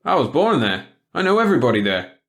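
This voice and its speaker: A blunt young small-town man, voiced by a man reading aloud. He talks a bit above the reader's own pitch and sounds plain and puzzled. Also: big pitch swings, fast talker.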